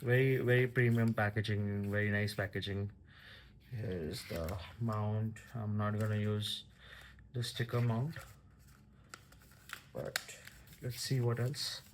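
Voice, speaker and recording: A man giving casual, halting commentary as a vlogger unboxing a product. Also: lowish voice, steady tone, slight background noise.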